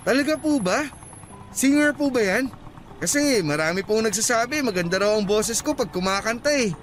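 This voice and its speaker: A man's voice: high-pitched, animated, normal speed, a bit bright, a little hiss or hum. A man playing a courteous, deferential young motorcycle taxi rider in a radio drama. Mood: polite.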